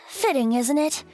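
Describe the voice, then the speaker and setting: Young male bard for a game: high, lilting, very animated, whimsical tease, music behind. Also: full character voice. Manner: lilting, musing, theatrical. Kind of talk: English video game voice acting.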